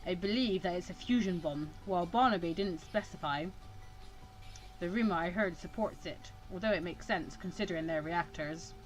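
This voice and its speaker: A woman's voice: lowish voice, normal speed, faint music behind, lightly acted, rough recording. A woman voicing a stern older male mentor in a visual novel, dry and instructive.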